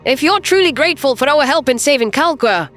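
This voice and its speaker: A woman playing a composed human starship captain in a sci-fi audio drama, earnest, measured and persuasive. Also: high-pitched, animated, fairly bright tone, soft background music. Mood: pleading, earnest. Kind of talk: audio drama character acting.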